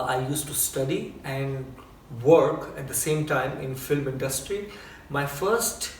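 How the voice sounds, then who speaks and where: A middle-aged Indian man with an Indian accent, a dancer and choreographer telling his story in an unhurried way with frequent fillers. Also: medium pitch. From India (Bombay). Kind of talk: relaxed personal storytelling. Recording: roomy, distant mic with faint noise.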